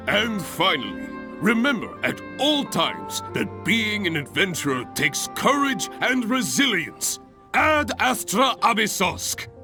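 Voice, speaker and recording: An actor playing a hearty, encouraging guild examiner in a video game, with a jovial, theatrical manner. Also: male voice, high-pitched, sing-song, slow, music in the background, exaggerated voice. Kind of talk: video game character acting.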